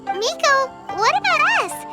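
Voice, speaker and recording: Tiny fairy companion for a game: extremely high, childlike, squeaky, highly animated, excitable. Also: female voice, a little slow, full character voice. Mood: puzzled, earnest. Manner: exclamatory, colloquial. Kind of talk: video game character acting. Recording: music playing under the voice.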